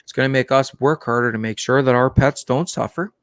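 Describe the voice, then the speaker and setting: Gravelly monotone man live streaming. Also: mid-range voice, dry recording, clean studio sound. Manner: plain, unhurried talk with many fillers and restarts. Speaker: aquarium hobby live streamer. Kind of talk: casual live stream chat.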